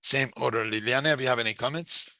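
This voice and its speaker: A man giving a live interpretation of a webinar discussion, speaking in halting, phrase-by-phrase chunks. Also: medium pitch, bright, forceful tone, no room sound. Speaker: simultaneous interpreter.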